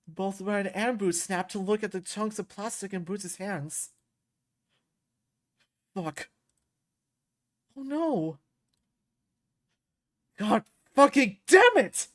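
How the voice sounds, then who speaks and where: A woman reading a visual novel aloud as expressive first-person story narration. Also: medium pitch.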